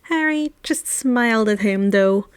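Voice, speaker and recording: A woman's voice: a little high, animated, measured pace, smooth, dry recording. A woman narrating a story expressively as an amateur audiobook reader, now and then stumbling slightly over a word.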